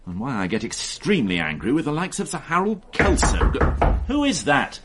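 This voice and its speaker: An actor playing an exasperated senior police detective in a radio drama, sharp-tongued and barking orders. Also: male voice, medium pitch, wildly swooping pitch, normal speed. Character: irritable senior police detective, middle-aged man.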